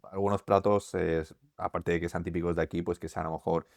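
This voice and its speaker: Deep, flat-voiced Spanish man. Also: gravelly, no room sound. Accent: Spain Spanish. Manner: measured, hesitant, lots of "eh" fillers. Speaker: co-host. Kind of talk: casual podcast conversation.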